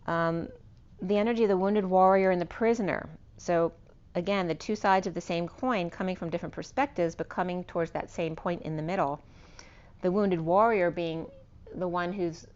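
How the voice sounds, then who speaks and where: A woman with a hoarse, fading voice giving an unscripted spiritual tarot reading, meandering and correcting herself as she lists cards and numbers. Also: lowish voice, lo-fi sound. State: losing her voice. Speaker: tarot reader.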